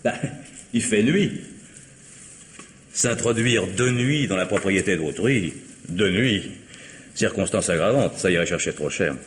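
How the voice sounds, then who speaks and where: An actor playing a wry, cheeky vagabond who scrounges drinks, with sardonic, drawling banter, in a vintage radio drama. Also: male voice, on the low side, animated, unhurried, pauses often. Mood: sly. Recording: old radio recording, long reverb, faint hiss.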